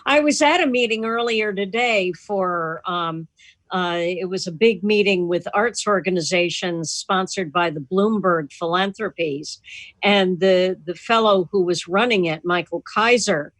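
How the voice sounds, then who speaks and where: A woman's voice: on the low side, a little slow, a bit bright, dry recording. A woman chatting casually and jokingly as the host of a club's video call, telling little anecdotes. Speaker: host of an online club meeting. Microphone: computer mic on a video call.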